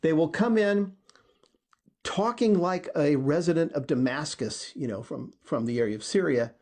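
A man's voice: a little high, moderate pace, darkish tone. A man co-hosting a conversation podcast, reading a psalm aloud and then explaining it in an earnest, thoughtful way. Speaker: podcast co-host. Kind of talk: casual discussion, reading scripture aloud.

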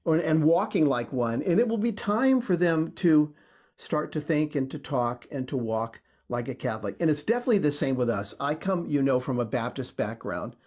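A man's voice: on the high side, animated, moderate pace, a little mellow. A man co-hosting a conversation podcast, reading a psalm aloud and then explaining it in an earnest, thoughtful way. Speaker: podcast co-host. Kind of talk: casual discussion, reading scripture aloud.

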